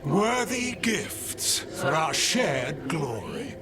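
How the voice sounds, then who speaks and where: A man's voice: fairly high pitch, big pitch swings, drawn-out words, noisy background, full character voice. A man playing a fanatical, menacing blood mage who declaims grandly through a possessed body, in a fantasy game. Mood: fanatical, grandiose. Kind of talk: theatrical fantasy video game acting. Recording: loud music behind the voice.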